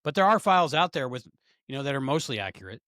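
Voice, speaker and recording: A man's voice: mid-range voice, sing-song, fast, pushed and bright, no echo, studio quality. A man co-hosting a podcast in casual chat, teasing and making jokey asides. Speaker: podcast co-host.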